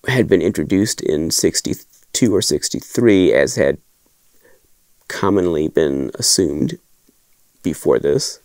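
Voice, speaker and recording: A man in his thirties hosting a collectibles show, explaining to camera from a desk in a small wood-paneled room in a thoughtful, measured way with restarts. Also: sits low, gravelly, dry recording. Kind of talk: casual explanatory talk to camera. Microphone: fixed camera at desk, framed from chest up.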